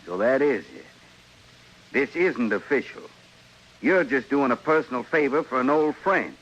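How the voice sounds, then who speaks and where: A man's voice: medium pitch, expressive, normal speed, fairly bright tone, highs cut off. An actor with an American accent playing a cocky, hot-tempered gunman in an old-time radio western, taunting and insolent.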